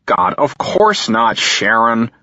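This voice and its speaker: A man's voice: deep voice, sing-song, takes it slowly, a bit bright, no room sound, full character voice. A man voicing a harsh, furious middle-aged father who speaks sternly and condescendingly, in a dull, dry recording. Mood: angry.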